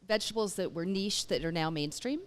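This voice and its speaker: A woman's voice: on the low side, a little fast. A woman co-host making casual conversation on a radio show.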